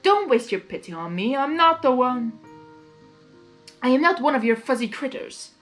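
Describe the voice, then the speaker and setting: A woman's voice: high voice, very animated, moderate pace, fairly bright tone, soft background music, pitched above the performer's own voice. A woman voicing a proud, teasing male chaos creature from a cartoon fan story, in a theatrical, emphatic way. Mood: defensive, scoffing.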